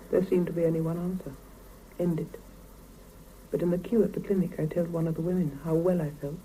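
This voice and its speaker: A woman's voice: low voice, warm tone, natural voice, rough recording. An actress playing a weary, reflective Soviet scientist and mother narrating her inner thoughts in a radio drama. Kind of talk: 1970s radio drama interior monologue. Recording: hissy 1975 mono radio cassette recording.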